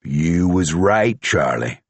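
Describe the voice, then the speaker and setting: A man voicing a superstitious, preachy horse thief locked in a cell, who speaks in a slow rural drawl. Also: deep voice, expressive, husky, dry recording, exaggerated voice. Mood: ominous.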